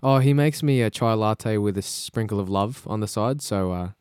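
A young Australian man with a smooth, resonant voice sitting a little low in pitch. He talks in a laid-back, rambling way and laughs easily. The sound is close and dry, with no room behind it. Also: normal speed, darkish tone, dry recording. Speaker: podcast co-host. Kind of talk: casual chat. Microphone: podcast microphone.

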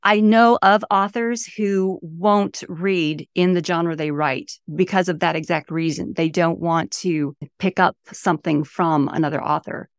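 A woman's voice: lowish voice, steady tone, unhurried, hardly any pauses, no room sound, clean studio sound. A woman in her fifties from the St. Louis area, an author chatting enthusiastically as a podcast guest. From St. Louis area, USA. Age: 50s. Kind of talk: casual interview talk.

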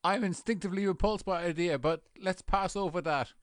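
A man's voice: sits high, fairly quick, clean tone, dry recording, studio quality, lightly acted. A man playing an eager union member cheering a leader's speech in a comedy sketch. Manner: cheering, loud heckles. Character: eager male union member in a rally crowd.

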